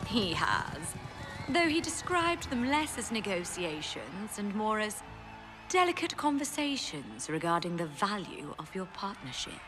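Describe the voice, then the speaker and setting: A woman's voice: medium pitch, sing-song, a little slow, over music. An actress playing a wealthy, self-assured businesswoman in a video game cutscene, speaking in a genteel, wry, gracious way. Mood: polite sparring. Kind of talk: video game cinematic voice acting.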